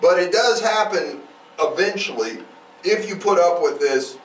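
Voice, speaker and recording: Casting: male podcast co-host, very lively pitch swings, conversational and rambling, recorded a bit far from the mic in an echoing room. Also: unhurried. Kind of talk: casual conversational true-crime chat. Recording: roomy, distant mic, faint noise.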